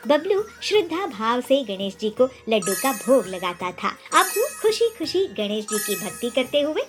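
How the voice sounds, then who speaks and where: Lively high-voiced woman storyteller. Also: normal speed. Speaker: storyteller narrator. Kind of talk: scripted children's story narration. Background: music.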